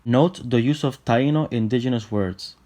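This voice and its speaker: A young man from Puerto Rico with a Puerto Rican accent, narrating a scripted explainer in a steady, list-like way. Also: medium pitch, moderate pace. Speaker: channel host.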